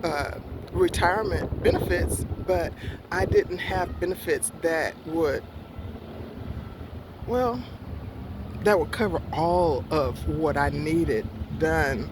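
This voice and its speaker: A woman in her sixties recounts her experience in a slow, unhurried way, stopping often to search for words and correct herself. She speaks outdoors in a park, and wind and street noise are clearly heard behind her voice. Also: deep voice. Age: 60s. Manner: halting and rambling, many fillers, corrects herself. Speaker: vlogger. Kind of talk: casual personal storytelling.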